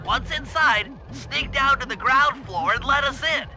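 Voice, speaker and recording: A man's voice: very high-pitched, unhurried, pushed and bright, exaggerated voice. A man voicing a brainy, earnest cartoon animal gadget expert in a video game, explaining things in precise technical terms. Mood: earnest, instructive. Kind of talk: video game cutscene voice acting. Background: background music.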